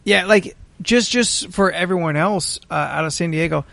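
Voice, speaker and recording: A man's voice: high-pitched, normal speed, gravelly, a bit bright. A man podcast co-host telling stories in casual chat, swearing freely as he goes.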